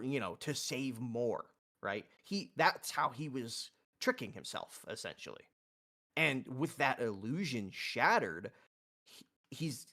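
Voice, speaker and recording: A man playing a tabletop roleplaying game, explaining his character in a halting, earnest way and often repeating words. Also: medium pitch, fairly bright tone. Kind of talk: casual in-game roleplay discussion.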